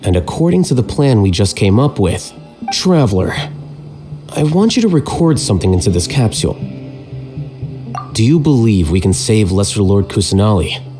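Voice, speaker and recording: A man voicing a calculating young scholar in a video game, measured, aloof and matter-of-fact. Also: fairly low pitch, lively intonation, close to an everyday voice. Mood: calm, persuasive. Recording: clear music behind the voice.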